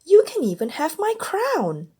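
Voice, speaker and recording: A woman's voice: very high-pitched, wildly swooping pitch, measured pace, dark tone, pitched above the performer's own voice, heavily acted. A woman voicing a spoiled, fickle young princess in a storybook, with an insistent childlike manner. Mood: eager.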